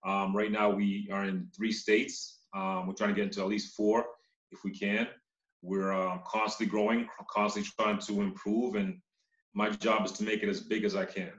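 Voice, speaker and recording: A fairly low-voiced man from Uganda tells his story casually as an interview guest. He often halts and repeats words. The recording is roomy and echoey, with a slightly dull sound. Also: level tone, moderate pace. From Uganda, moved to Massachusetts as a child. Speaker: interview guest, company CEO.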